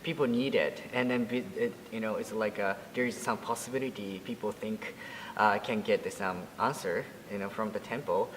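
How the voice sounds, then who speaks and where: A man in his twenties from Japan, speaking English with a Japanese accent as a Buddhist priest being interviewed, halting and full of fillers and restarts. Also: on the low side, fairly even intonation, big-room sound, a little hiss or hum, rough recording. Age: 20s. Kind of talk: interview answers.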